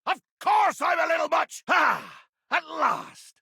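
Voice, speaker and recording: An actor playing a tiny, furious, grandiose sea captain in a video game, with a raspy, gravelly voice and theatrical roaring insults. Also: male voice, very high voice, sing-song, slow pace, pushed and bright, dry recording, raised voice, studio quality, full character voice. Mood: grandiose, belligerent. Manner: bombastic, theatrical, archaic nautical insults and sudden roars. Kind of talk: video game character acting.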